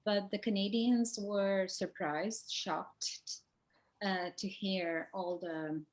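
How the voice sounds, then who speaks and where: A woman's voice: mid-range voice, steady tone, takes it slowly, low-quality recording. A middle-aged woman with a Turkish accent, asking questions conversationally and thinking aloud as she goes. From Turkey. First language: a minority language of Turkey. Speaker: interviewer. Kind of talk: conversational Q&A interview.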